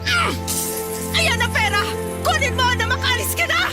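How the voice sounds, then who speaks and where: A woman's voice: very high-pitched, normal speed, loud music behind the voice. A woman playing a distressed, protective mother with an emotional delivery in a radio drama. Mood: outraged, protective. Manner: emotional, fervent.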